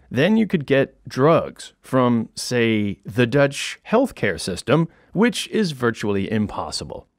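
A man's voice: medium pitch, no room sound. A man in his fifties with an American accent, reading his own comic book aloud as an audiobook in a small studio. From United States. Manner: wry, lively storytelling with comic emphasis. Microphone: studio condenser mic with headphones. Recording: dry studio sound.

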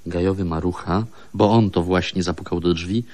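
An actor playing the storytelling narrator of a scripted radio comedy serial. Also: male voice, low voice, fairly even intonation, moderate pace, darkish tone, close to an everyday voice.

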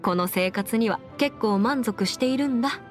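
A woman voicing a confident, brisk young half-immortal lawyer in an anime game, with crisp, formal phrasing and music behind her. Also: a little high, natural voice. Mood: friendly, businesslike. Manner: crisp, self-assured, formal mannish phrasing. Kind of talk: scripted anime game character voice lines. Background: background music.

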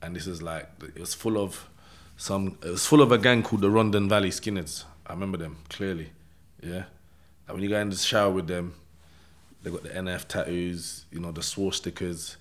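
London guy w/ a deep-ish voice, slangy, telling his life story casually, lots of innit and yeah?. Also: moderate pace. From London, England. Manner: streetwise slang, repeated check-in tags like "yeah?" and "innit". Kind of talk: casual first-person storytelling.

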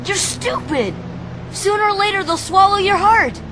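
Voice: sits high, very animated, moderate pace, background noise. A performer playing an earnest, hot-headed young teenage boy hero in a video game, eager and emotional. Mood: upset.

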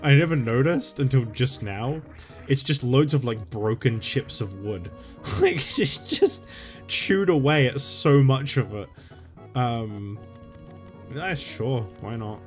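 A man rambling casually and swearing while playing a game, his voice going hoarse. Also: medium pitch, lively intonation, slow, soft background music. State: losing his voice. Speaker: gaming YouTuber. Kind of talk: casual let's-play commentary.

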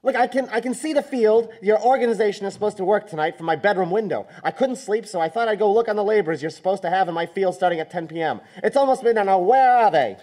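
An actor playing an irate, impatient winery owner making a pushy complaint call in a live radio drama. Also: male voice, high-pitched, animated, fast, few pauses, a little mellow. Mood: annoyed, insistent. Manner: pushy, insistent, interrupting. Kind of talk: live old-time radio drama acting. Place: live stage studio performance.

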